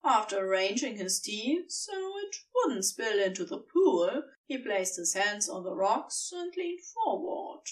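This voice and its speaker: A woman's voice: mid-range voice, big pitch swings, unhurried, few pauses, distant mic. A woman reading scripted fiction as a storybook narrator.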